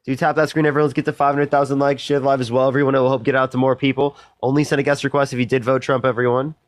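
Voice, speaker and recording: A man hosts a live stream, rattling off thanks to viewers and calling in the next guest. He talks fast and casually, and his voice sounds dry and close to the mic. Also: mid-range voice, flat delivery, dry recording. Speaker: livestream host.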